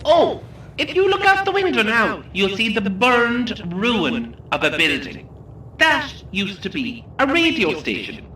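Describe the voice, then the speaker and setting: A woman's voice: fairly high pitch, very animated, measured pace, pushed and bright, reverberant, far from the mic, a little hiss or hum, heavily acted. A woman with an Irish accent playing a chirpy, ghoulish tour guide in an audio drama, with theatrical, jokey patter for a group. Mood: upbeat announcing. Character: chirpy, ghoulish female tour guide hosting a coach game. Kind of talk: audio drama acting, announcing.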